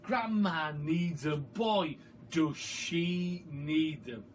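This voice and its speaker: A man voicing a mischievous, excitable young boy in an emphatic, relishing storybook style. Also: on the high side, extremely slow, slight background noise. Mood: determined. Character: excitable, mischievous young boy George, plotting against grandma.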